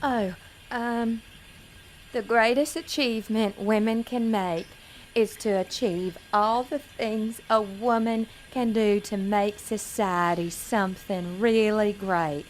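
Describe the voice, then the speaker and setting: A woman as a slow, sweet pageant hopeful. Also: mid-range voice, steady tone, slight background noise, lightly acted. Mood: earnest, rambling. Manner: apologetic, flustered, naive. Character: sweet, nervous, dim-witted young pageant contestant. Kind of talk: audio drama acting.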